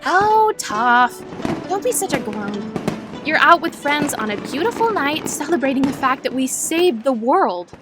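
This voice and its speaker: A woman playing a caring, easily exasperated teenage sister in an animated comic dub, very expressive. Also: high voice, measured pace, music in the background.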